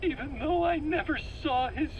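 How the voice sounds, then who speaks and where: An actor playing an anguished, defensive captive in a video game, speaking in a halting, stammering way. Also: male voice, very high voice, highs cut off, a little hiss or hum. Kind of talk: dramatic game dialogue.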